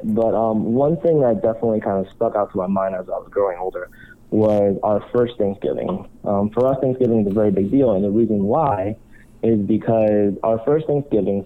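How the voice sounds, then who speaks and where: A man who was born in Cuba and works as a marriage counselor calmly tells his life story as a guest on a talk show. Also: lowish voice, brisk. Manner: calm, reflective storytelling. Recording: tinny phone-line sound.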